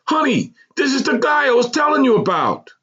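A man voicing a big, excitable former bully in a storybook, loud and overjoyed. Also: extremely high pitch, brisk, muffled recording, pitched above the performer's own voice, exaggerated voice. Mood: overjoyed, eager.

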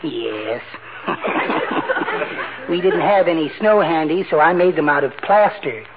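A man's voice: a little high, animated, takes it slowly, a crowd in the background. An actor playing a timid, sweet, henpecked little married man with prissy, quaint manners in a 1940s radio comedy. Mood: chirpy, meek. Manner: meek, prissy, quaint exclamations. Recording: noisy, narrow-band 1940s radio recording.

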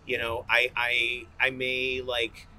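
A man's voice: medium pitch, completely flat delivery, slow pace, a crowd in the background, rough recording. A man talking casually as an interview guest, informal and rambling, full of slang. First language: bilingual English and fluent Spanish. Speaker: coffee roaster, interview guest. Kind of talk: casual conversational interview answers.

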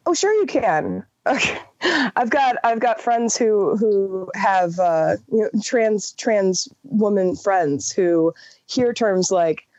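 A woman's voice: medium pitch, expressive, takes it slowly, darkish tone, dry recording, lo-fi sound. A woman from Wisconsin with an American accent, a panelist on a podcast call, talking earnestly with many fillers and restarts. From Wisconsin, US upper Midwest. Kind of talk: casual roundtable discussion. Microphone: call audio.

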